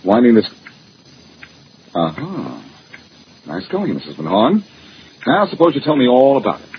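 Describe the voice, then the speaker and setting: A man's voice: medium pitch, huge pitch swings. A muffled, noisy vintage radio recording of a glib, sarcastic detective. His lines swing freely in melody as he tosses off wisecracks in old-time crime drama style. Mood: brisk, suspicious. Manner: glib, sarcastic, quick with quips. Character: cocky wisecracking amateur detective.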